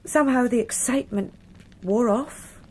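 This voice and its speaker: An actress playing a frank, wistful married British woman in a radio crime drama, with a breathy voice. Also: on the high side, normal speed, darkish tone, close to an everyday voice, poor audio. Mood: confessional.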